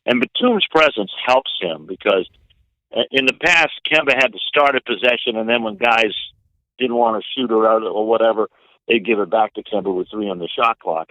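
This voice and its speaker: Male sports announcer as interview guest, lowish voice, measured and hesitant analysis, thin phone-quality sound. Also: no room sound. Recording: narrow, tinny phone-like line.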